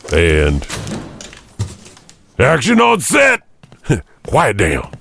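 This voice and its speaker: A man playing a mysterious janitor who hosts a horror podcast, narrating eerie legends theatrically in a gravelly voice. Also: mid-range voice, wildly swooping pitch, extremely slow, a bit bright, a little hiss or hum, full character voice. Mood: gruff, showy. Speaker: horror storytelling podcast host.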